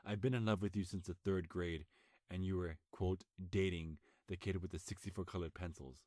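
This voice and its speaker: Low-voiced, nervous lovestruck young man, acted by a man. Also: fairly even intonation, dark tone, no echo, clean studio sound, lightly acted. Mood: pleading, lovestruck. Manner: halting, stammering, earnest. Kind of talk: intimate ASMR boyfriend roleplay.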